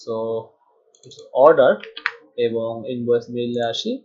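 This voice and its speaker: A man's voice: mid-range voice, takes it slowly, a little mellow. Man talking through code, lo-fi sound. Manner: thinks aloud while typing, mixes English terms. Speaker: programming tutor. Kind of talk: screen-recorded coding tutorial. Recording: dull lo-fi sound.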